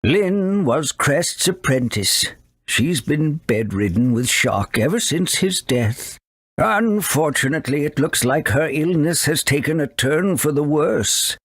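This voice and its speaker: A man's voice: fairly high pitch, expressive, slow pace, fairly bright tone, breathy edge, no echo, clean studio sound, exaggerated voice. A man voicing an anxious, wary talking owl for a video game, dramatic and exclaiming. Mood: grave, wary. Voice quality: hooting cries. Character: anxious old talking owl, wary guardian of a sick girl. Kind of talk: video game character acting.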